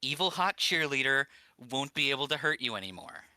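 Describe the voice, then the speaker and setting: A man's voice: medium pitch, big pitch swings, fairly quick, sharp tone, dry recording. A man making wry jokes in casual group stream chat. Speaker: participant in a group livestream.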